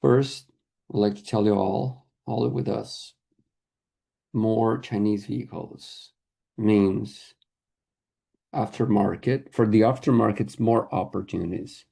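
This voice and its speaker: A man interpreting a corporate earnings call live, speaking haltingly in short phrases. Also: on the low side, fairly even intonation, vocal fry, warm tone, husky. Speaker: conference interpreter.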